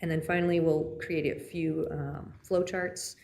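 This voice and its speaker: Brief: woman, municipal staffer at the podium, monotone with some vocal fry. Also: low-pitched, a little slow, a little hiss or hum. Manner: steady, many fillers, trailing off quietly. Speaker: city grants staff presenting to council. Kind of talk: formal council presentation. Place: city council chamber.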